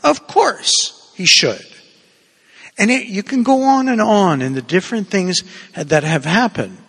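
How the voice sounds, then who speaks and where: A man from Cuba preaching a homily in an unhurried, meandering way, with a gravelly, husky voice. His words ring out with a long echo through the church. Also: sits high, animated, fairly bright tone. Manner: conversational, digressive preaching with asides.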